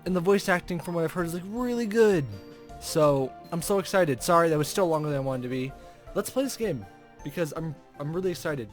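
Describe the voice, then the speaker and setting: Higher-pitched man, casual let's player. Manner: chatty, rambling asides. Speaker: let's play host. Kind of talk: casual let's play commentary with game text read aloud. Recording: music playing behind the voice. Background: video game background music.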